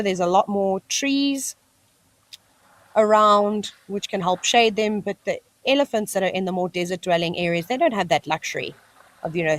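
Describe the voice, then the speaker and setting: Chatty South African woman narrating wildlife. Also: medium pitch. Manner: chatty, enthusiastic, talks to the animals. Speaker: wildlife livestream host. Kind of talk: live nature commentary. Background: cicadas screeching.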